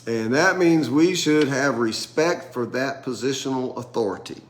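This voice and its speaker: A middle-aged American pastor leading a casual Bible study around a table, nasal and congested from a sinus infection, talking in a halting way with many restarts. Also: male voice, mid-range voice. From United States. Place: room with a group at a table.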